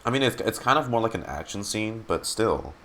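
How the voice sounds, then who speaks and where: Male game streamer, casual and halting, exasperated delivery with animated intonation, slight background noise. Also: lowish voice. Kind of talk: casual live reaction commentary.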